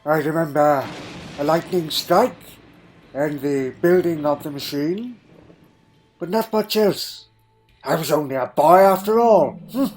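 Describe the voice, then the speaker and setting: A man playing a wistful, eccentric time-travelling alien in an audio drama, with a halting, reflective way of talking. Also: on the high side, darkish tone, close to an everyday voice. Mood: wistful, musing. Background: faint music.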